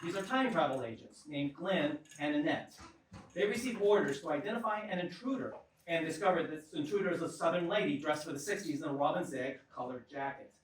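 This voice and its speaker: A man with a fairly high voice introduces a script to a live audience in an informal, emphatic way. He sounds distant in a very echoey theatre, with some light noise around him. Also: animated, brisk. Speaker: presenter and narrator of a live screenplay table read. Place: small live theatre stage.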